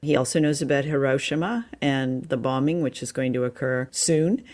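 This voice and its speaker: A woman's voice: very deep voice, moderate pace, darkish tone. A woman co-hosting a podcast, casually discussing and recapping a story. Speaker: podcast co-host.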